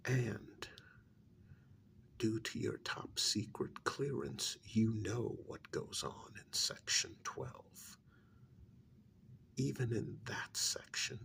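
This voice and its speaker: A man performs a stern government spy chief for a soft-spoken ASMR role-play. His low voice crackles with heavy gravel and often drops to a breathy half-whisper. He is formal and deliberate, with a disappointed, condescending air. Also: full character voice. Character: stern, disappointed male senior agent of secret government agency.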